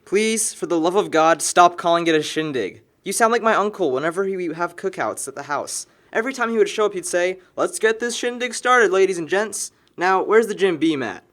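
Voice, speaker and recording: A young male actor as a sarcastic young guy with a somewhat high voice, exasperated with his best friend. He delivers casual audio drama dialogue in a needling, teasing way. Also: expressive, fairly quick, few pauses, fairly bright tone. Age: young adult.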